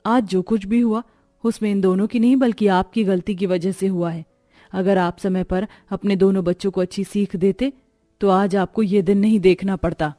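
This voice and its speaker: A woman's voice: medium pitch, fairly even intonation, moderate pace, dark tone, natural voice. A woman voicing an emphatic, moralizing mother in a Hindi cartoon moral story. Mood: reproachful. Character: adult mother and housemaid, moralizing and scolding. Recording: music behind the voice.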